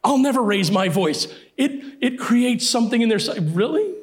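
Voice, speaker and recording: A middle-aged male pastor preaching a sermon emphatically, repeating his key phrases, his voice sometimes hoarse. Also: very high-pitched, expressive, normal speed.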